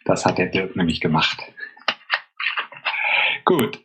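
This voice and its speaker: A man hosting a podcast in casual chat, brisk and joking as he runs through the outro. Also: low-pitched, lively intonation, fairly bright tone, husky. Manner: brisk, joking, rattles off lists. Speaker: podcast host. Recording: noisy line with clicks.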